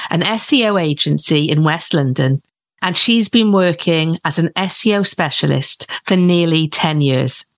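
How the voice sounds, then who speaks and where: A woman hosts a podcast, introducing her guest in a steady, measured read. Her voice is very low for a woman, and faint music plays beneath her. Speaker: podcast host. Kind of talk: scripted introduction and interview questions.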